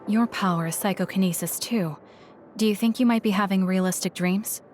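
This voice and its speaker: A woman's voice: medium pitch, fairly quick, a little mellow, faint music behind, close to an everyday voice. An actress playing a cool, blunt young woman soldier in a video game, with a curt and aloof, dryly probing manner. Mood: curious, measured. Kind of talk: English video game voice acting.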